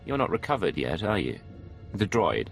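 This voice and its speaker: An actor playing a cool, bitter secret agent in a space opera video game, speaking in measured, clipped lines. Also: male voice, low-pitched, big pitch swings, gravelly, faint music behind, exaggerated voice. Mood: calm. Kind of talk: cinematic video game acting.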